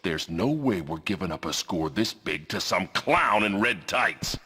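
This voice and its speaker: An actor playing a menacing, vengeful gang boss villain in a video game, with a threatening, commanding manner. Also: male voice, low-pitched, sing-song, a little slow, sharp tone, a little hiss or hum, heavily acted. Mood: angry, commanding. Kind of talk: video game cutscene acting.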